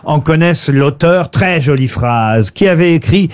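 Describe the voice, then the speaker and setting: A man's voice: a little high, animated, unhurried. A man hosting a radio panel show, bantering and reading out quiz quotations.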